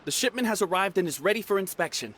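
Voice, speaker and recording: Dutiful, higher-voiced village soldier. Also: male voice, expressive, fast talker, a bit bright, a little hiss or hum. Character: dutiful, respectful male village soldier reporting in. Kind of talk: video game voice acting. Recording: music behind.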